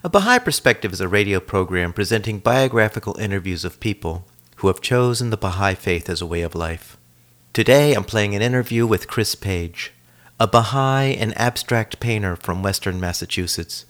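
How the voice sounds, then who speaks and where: A man hosting a community radio program, introducing the show and asking questions in a steady, measured way. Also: fairly low pitch. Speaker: radio host and interviewer.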